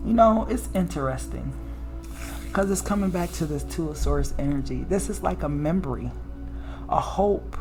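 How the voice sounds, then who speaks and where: A woman with a raspy alto voice giving a casual, preachy spiritual tarot reading, often checking in with "okay?" and "right?". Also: lively intonation, normal speed, a little mellow, faint music behind. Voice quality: low alto voice. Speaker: tarot reader.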